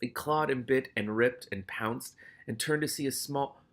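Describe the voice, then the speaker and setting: A man's voice: medium pitch, a little slow, fairly bright tone. A man hosting a read-aloud of a novel, reading dramatically and breaking off into casual, exclamatory, sweary commentary. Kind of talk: expressive audiobook-style reading with casual reactive commentary.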